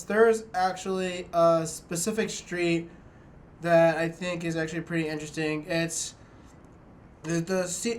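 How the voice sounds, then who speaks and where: A young man speaks to camera from a small dorm room, his voice sitting a little high for a man. He halts often, restarts phrases and pads with fillers. A faint background hiss is present. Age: young adult. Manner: halting, many restarts and fillers. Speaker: student filmmaker. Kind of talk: documentary narration to camera.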